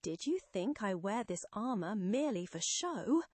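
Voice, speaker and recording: A woman's voice: mid-range voice, very animated, moderate pace, a little mellow, no echo. A woman voicing an imperious armored male supervillain who speaks in a pompous, grandiose, coldly boastful way. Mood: menacing.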